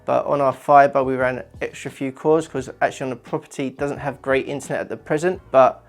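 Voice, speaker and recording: A man's voice: mid-range voice, steady tone, brisk, vocal fry, faint music behind. A man, an installer informally explaining his work in a presenter's walkthrough for a company channel.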